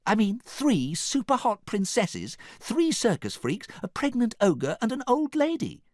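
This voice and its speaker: A man's voice: high-pitched, wildly swooping pitch, a little slow, darkish tone, no echo, clean studio sound, pitched above the performer's own voice, heavily acted. A man voicing a prim, haughty young fairy-tale princess in an affected, girlish manner. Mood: indignant.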